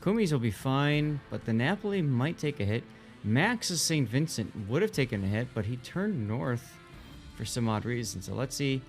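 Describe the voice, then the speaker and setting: A man's voice: medium pitch, big pitch swings, moderate pace. A man commentating live on a video game tournament in a casual, explanatory way. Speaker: esports commentator. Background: music.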